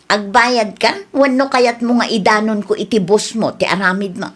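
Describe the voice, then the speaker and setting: A woman's voice: mid-range voice, steady tone, moderate pace, a bit bright, natural voice. A woman with an Ilocano accent playing a pushy, teasing friend who lends money, in a comedy radio drama. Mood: insistent. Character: pushy woman creditor friend demanding her money back.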